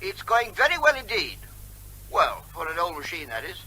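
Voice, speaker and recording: Smug pedantic neighbour, high-pitched, slightly rough-edged, breezy, teasing, evasive, comic radio drama. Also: male voice, huge pitch swings, moderate pace, bright, forceful tone, heavily acted, rough recording. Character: cheeky, evasive, mock-polite male neighbour who teases and quibbles.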